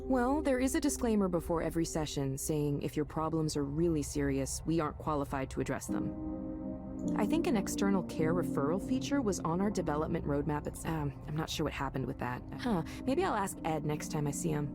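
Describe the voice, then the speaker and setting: A woman playing a calm, understanding but firm supervisor at a counseling service in a video game. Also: low voice, a little fast, over music. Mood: matter-of-fact, musing. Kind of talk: natural video game voice acting.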